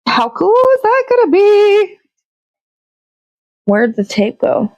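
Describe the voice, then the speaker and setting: A woman's voice: extremely high pitch, big pitch swings, takes it slowly, darkish tone, no echo, highs cut off. Rambling woman host, talks while crafting, dry close recording. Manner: rambling, self-correcting, chatty. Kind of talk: casual chat while crafting.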